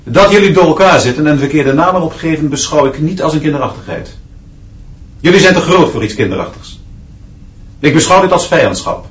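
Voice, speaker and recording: Echoey man acting as strict new teacher in a radio play, kinda high voice, clipped and formal, combative. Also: expressive, fairly quick, muffled recording, lightly acted. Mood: defiant, stern. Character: new male schoolteacher, stern and combative with class. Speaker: actor in a radio drama. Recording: echoey room, distant from mic.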